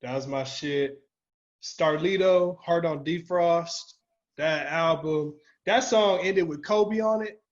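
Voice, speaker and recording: Podcast co-host: American male, slightly high pitch, informal and profane, dull lo-fi recording. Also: takes it slowly. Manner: slangy, profane. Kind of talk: casual chat.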